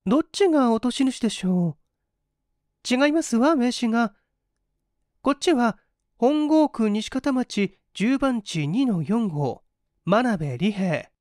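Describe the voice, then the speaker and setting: A woman's voice: a little high, expressive, takes it slowly, darkish tone, no room sound, clean studio sound, pitched above the performer's own voice. A woman voicing a polite, principled, hungry young woman with emphatic, old-fashioned feminine speech in a dramatised story reading. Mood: polite, questioning.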